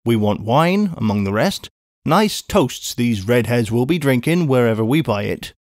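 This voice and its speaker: A man voicing a brisk, fiercely loyal older English spinster in an audiobook, speaking emphatically. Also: mid-range voice, lively intonation, darkish tone, no room sound, studio quality, lightly acted. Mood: bustling. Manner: emphatic, bustling.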